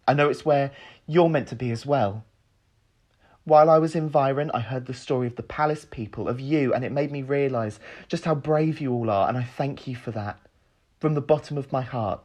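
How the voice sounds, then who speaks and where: A man's voice: mid-range voice, a little mellow, lightly acted. A young man playing a nervous, self-deprecating young prince who speaks earnestly to rally his people in an audio drama.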